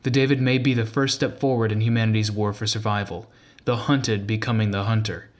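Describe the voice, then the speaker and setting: A man reading scripted documentary narration in a measured, formal manner. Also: fairly low pitch, fairly even intonation. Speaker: video narrator.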